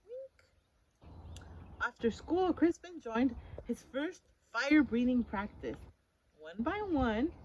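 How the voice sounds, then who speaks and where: A woman reading a picture book aloud to children in an expressive, emphatic storytelling style. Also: mid-range voice, dull audio. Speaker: story-time reader for children. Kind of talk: animated picture-book read-aloud with questions to listeners.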